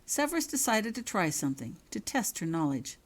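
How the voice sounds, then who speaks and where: A woman's voice: medium pitch, sing-song, fairly quick. A woman reading her own story aloud as scripted narration. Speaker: author reading own fan fiction.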